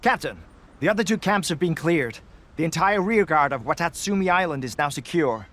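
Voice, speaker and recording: Man as veteran acting captain, video game, medium pitch, expressive intonation, blunt, formal military manner, faint background noise. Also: a little fast, natural voice. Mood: reporting. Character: sober, straight-talking male veteran soldier, acting captain. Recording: faint ambient noise behind the voice.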